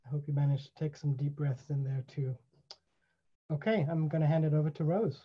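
An older man hosting an online event, giving welcoming remarks in a measured way, pausing and repeating phrases deliberately. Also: a little high, fairly even intonation, breathy edge. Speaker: event host. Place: small indoor room. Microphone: computer mic on a video call. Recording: dull, lo-fi video call audio.

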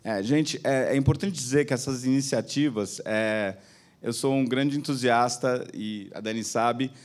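A man's voice: mid-range voice, measured pace. A man in his forties with a Brazilian Portuguese accent, a TV channel executive speaking to an audience on an auditorium stage with a handheld mic, chatty and peppering his talk with tag questions.

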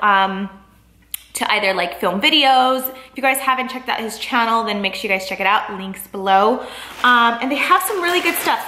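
Young female vlogger, chatty and rambling, fairly high voice, roomy camera-mic sound. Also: sharp tone, a little hiss or hum. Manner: bubbly, rambling, lots of "like" and "um". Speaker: lifestyle vlogger. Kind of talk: casual chatty vlog. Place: store aisles, then a home living room.

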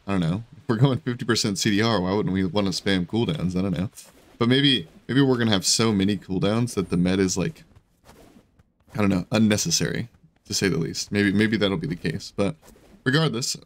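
Hoarse man commentating his own gameplay. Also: fairly low pitch. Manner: rambling, casual, many fillers and restarts. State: hoarse, scratchy voice. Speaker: gaming video creator.

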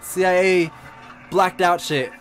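A young man streaming a game, reacting in a loud, crude, joking way into a studio mic at home. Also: sits high, fairly even intonation, slow pace. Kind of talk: casual reaction commentary. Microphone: studio condenser on arm. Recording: game music in background.

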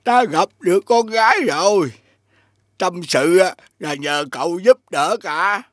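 An actor playing a kindly, cautious, poor old man giving gentle advice in an animated fairy tale. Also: high-pitched, a little slow, no echo, clean studio sound. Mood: warm, humble.

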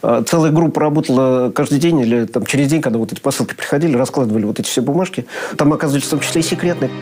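A man's voice: medium pitch, very fast, a little mellow. A man speaking as an interviewee in a documentary, explaining loosely with fillers.

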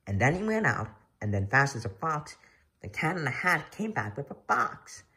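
A woman reading a children's rhyming book aloud in an expressive, sing-song delivery. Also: rumbling low, normal speed. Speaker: storybook reader.

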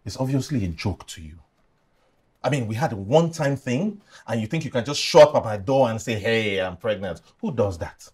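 A man acting in a film drama as a smooth-talking lover who gets evasive and defensive when cornered. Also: mid-range voice, lively intonation, normal speed, darkish tone. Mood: angry, defensive.

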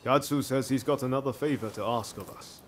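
Man acting an easygoing, mid-pitched samurai buddy. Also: brisk, background noise, close to an everyday voice. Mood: eager, relaxed. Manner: casual, chummy, upbeat. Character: easygoing, eager, friendly samurai companion. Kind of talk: scripted video game cutscene acting.

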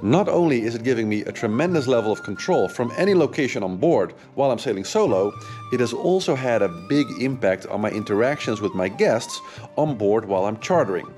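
A man's voice: mid-range voice, animated, brisk, darkish tone. A man in his thirties, a sailing vlogger and charter captain, giving an enthusiastic, casual product review from a sailboat, with frequent fillers. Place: on a sailboat, outdoors. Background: music.

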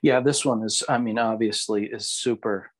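A man co-hosting a podcast in casual, reflective discussion, halting as he searches for words. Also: fairly low pitch, fairly even intonation. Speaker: podcast co-host.

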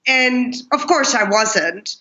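Woman, middle-aged, bright higher voice, dull lo-fi sound. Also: lively intonation, slow. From Pakistan. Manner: reflective, earnest storytelling. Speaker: guest, kindness speaker. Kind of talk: conversational interview.

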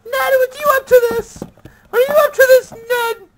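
A man voicing an excitable, boastful little teddy bear who hosts a homemade puppet show. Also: very high voice, normal speed, voice pushed up. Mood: boastful, playful. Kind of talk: improvised puppet comedy skit. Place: small room at home. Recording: noisy home camera audio.

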